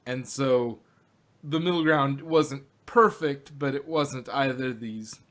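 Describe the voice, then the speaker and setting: A man co-hosting a relaxed review conversation. He explains references at length, often restarting his sentences. His pitch is ordinary for a man. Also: slow. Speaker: podcast co-host. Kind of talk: casual review chat.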